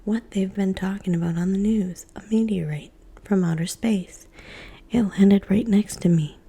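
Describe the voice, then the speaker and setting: A woman whispering the lines of an excitable, frustrated boy in a story. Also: mid-range voice, moderate pace, slightly rough. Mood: insistent. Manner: insistent, frustrated. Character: excitable boy no one believes.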